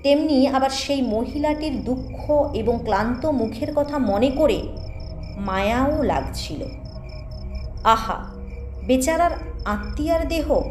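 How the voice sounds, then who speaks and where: High, expressive woman narrating a ghost story. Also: slight background noise. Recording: echoing voice over music bed.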